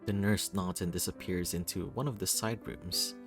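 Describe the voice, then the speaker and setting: A man with a fairly low voice narrates a first-person story. His delivery is expressive and theatrical, like someone acting out a visual novel. Music plays behind him. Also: moderate pace, creaky. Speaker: reader voicing a visual novel.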